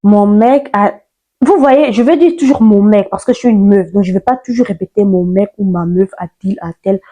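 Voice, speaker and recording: A woman's voice: mid-range voice, moderate pace, warm tone, dull audio. A nineteen-year-old woman from Ivory Coast, chatting playfully and sassily to camera in a casual vlog. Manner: chatty, playful, sassy, mixes slang. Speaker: YouTuber.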